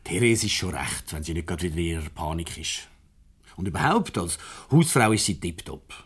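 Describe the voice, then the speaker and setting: A rueful husband in a 1970s Swiss German radio comedy. His voice is very low and husky. He grumbles defensively and hesitates, easily flustered. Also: animated, fairly quick. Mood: weary, wistful. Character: rueful, flustered husband Peter in marital crisis.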